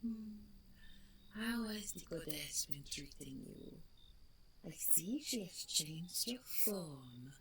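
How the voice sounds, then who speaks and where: A vain, spectral fallen queen in an audio roleplay, speaks in a low, breathy whisper. She draws out her words extremely slowly, mocking and theatrical, with a creaky edge to her menace. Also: heavily acted. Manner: taunting, theatrical, drawn-out delivery. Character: ghost of a deposed monster queen, vain, mocking, vengeful. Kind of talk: audio roleplay monologue acting. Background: ambient sound effects, wind.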